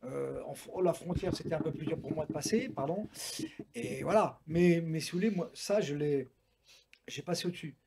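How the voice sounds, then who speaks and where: A man's voice: a little high, moderate pace, gravelly, a little mellow. A man in his sixties from France, a boxer and coach speaking frankly and emphatically in a talk-show conversation. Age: 60s. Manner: frank, emphatic, repeats himself for weight. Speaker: Muay Thai boxer and coach.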